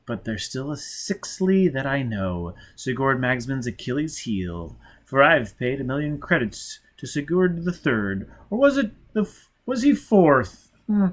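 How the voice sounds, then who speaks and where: An audiobook fugitive acted by a man with a medium-pitched male voice. He is driven and a little unhinged, with an insistent, mocking edge. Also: big pitch swings, a little slow. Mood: sly, manic. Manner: chanting, insistent.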